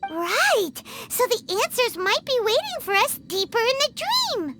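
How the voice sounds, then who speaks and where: A woman voicing a tiny, excitable, childlike floating fairy companion in a video game, blurting out reactions and fretting. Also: very high-pitched, unhurried, exaggerated voice. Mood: curious, eager. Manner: refers to self in third person, blurts reactions. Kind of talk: English video game voice acting. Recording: game music under the voice.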